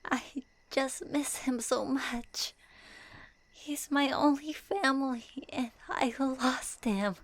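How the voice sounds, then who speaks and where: A woman playing a gentle, grieving young female traveler in an intimate ASMR audio roleplay, speaking breathily and haltingly. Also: high-pitched, no room sound. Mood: tearful, grieving. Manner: breathy, halting, often half-whispered. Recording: faint noise, crickets behind.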